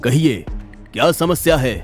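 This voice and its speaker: A man's voice: fairly high pitch, measured pace, over music, close to an everyday voice. A man playing a stern, authoritative king holding court in a cartoon story, formal and commanding. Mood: questioning.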